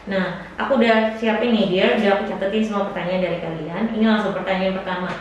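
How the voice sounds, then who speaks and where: A woman's voice: mid-range voice, fairly even intonation, fast talker, recorded from a distance, lo-fi sound. Chatty woman host in an echoey room. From Jakarta. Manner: chatty, affirming, calls guest dear. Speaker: interviewer host. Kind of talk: casual interview chat. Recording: echoey room, voice from afar, faint noise.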